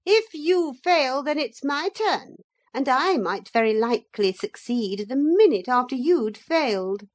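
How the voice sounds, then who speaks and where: A woman voicing a rude, bossy, scheming lady who talks in a shrill, screeching voice. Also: expressive, measured pace. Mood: smug.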